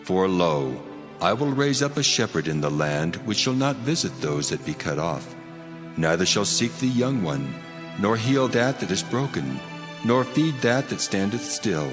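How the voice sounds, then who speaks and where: A man plays a commanding God of scripture, low in pitch and declamatory. His solemn pronouncements sit in a loud bed of music. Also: big pitch swings, unhurried. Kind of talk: dramatized scripture reading.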